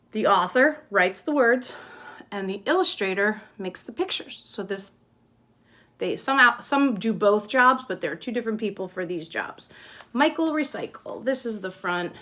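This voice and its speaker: A woman's voice: mid-range voice, a bit bright. A woman kindergarten teacher giving a simple lesson and picture-book read-aloud, explaining step by step and checking with questions.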